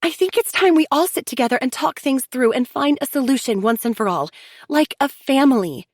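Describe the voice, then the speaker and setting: Woman doing a chirpy pushy sister-in-law, high and eager, dry close mic. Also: lively intonation, fairly quick, dry recording. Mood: insistent. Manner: insistent, upbeat. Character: cheerful, insistent young woman peacemaker. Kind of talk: audiobook character voice.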